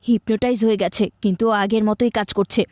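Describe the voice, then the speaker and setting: A woman's voice: mid-range voice, fairly even intonation, fast, darkish tone, dry recording, clean studio sound, close to an everyday voice. A woman voicing a scheming, bossy child in a cartoon, speaking in a theatrical, exclamatory way. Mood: gleeful, triumphant. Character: scheming, bossy child, older sibling type, gleeful prankster. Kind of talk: cartoon voice acting. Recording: music behind the voice.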